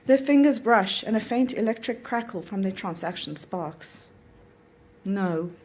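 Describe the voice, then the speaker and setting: A woman's voice: a little high, expressive, normal speed, a little mellow, husky. A woman reading a literary short story aloud at an event, in the narrator's voice. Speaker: author reading own story.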